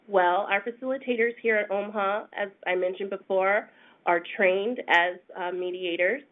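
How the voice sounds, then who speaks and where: A woman with a stuffy, congested voice presents a webinar, explaining things step by step. She pauses often with small fillers. The sound comes through a tinny phone-line connection. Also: mid-range voice. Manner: measured, explanatory, with frequent "um"s. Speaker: senior attorney presenting a webinar. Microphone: phone conference line.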